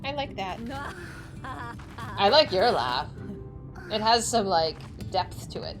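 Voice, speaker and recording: A young woman streaming a game, chatting excitedly with a slightly squeaky voice, over faint game music. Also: slow pace. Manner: excitable, chatty, laughing often. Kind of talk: casual chat while playing a game.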